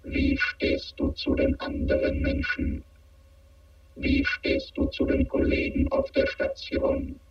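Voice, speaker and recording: A cold alien interrogator questions insistently in a science fiction radio play. The synthetic voice is utterly monotone and very slow, in a dull, echoing recording. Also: male voice, extremely high pitch, soft background music. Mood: cold, probing. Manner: flat, clipped, insistent questioning. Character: cold emotionless alien interrogator probing human feelings. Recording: echoing, dull band-limited sound.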